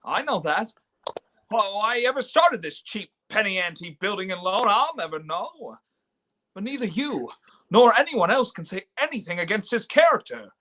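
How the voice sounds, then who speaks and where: Man acting high-pitched, impassioned young idealist. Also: sing-song, moderate pace, pushed and bright, full character voice. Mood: indignant, impassioned. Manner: stammering, impassioned. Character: earnest, indignant, idealistic young man defending his father. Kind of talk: live radio play reading. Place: remote online reading from home.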